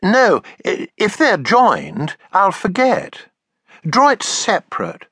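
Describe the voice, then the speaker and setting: A man reading aloud and voicing an eager, excitable little cave girl in a storybook, chirpy and breathless with excitement. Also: high voice, takes it slowly, slightly rough, dry recording, studio quality, pitched above the performer's own voice, exaggerated voice. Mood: excited.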